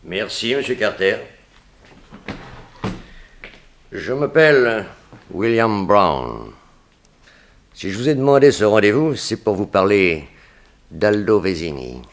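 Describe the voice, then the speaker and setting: A deep-voiced, scheming gangster. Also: male voice, very animated, a little slow. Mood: guarded, conspiratorial. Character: scheming, vengeful gangster posing as an informant. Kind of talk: 1960s French radio crime drama acting.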